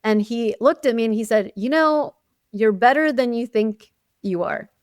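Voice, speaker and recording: Woman, Arabic first language, interview guest, chatty storytelling, smooth clear voice, dry close sound. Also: on the high side, normal speed, no room sound. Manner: animated storytelling with frequent "you know" and laughs. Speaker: guest, chief dental officer. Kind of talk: conversational interview.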